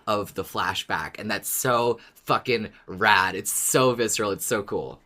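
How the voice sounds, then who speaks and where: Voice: deep voice, unhurried, sharp tone. A podcast co-host in casual fan discussion, speaking informally and with emphasis.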